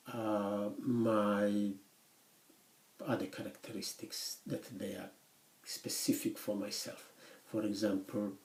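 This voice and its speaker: A man lecturing university students in English, which is his third language, speaking haltingly with many restarts and pauses. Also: on the low side, a little mellow. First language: not English; English is his third language after Swedish. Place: lecture room. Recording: echoey classroom recording.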